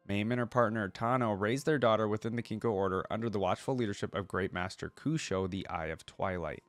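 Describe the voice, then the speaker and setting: A man with a fairly low voice, recorded close and dry. He talks casually as a reaction host, switching between reading text aloud and explaining it in his own words. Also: fairly quick, dry recording.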